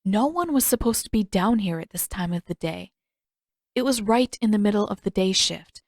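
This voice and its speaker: A woman's voice: mid-range voice, expressive, normal speed, a little mellow, dry recording, studio quality. A woman reading a fiction story aloud as a scripted audiobook narration.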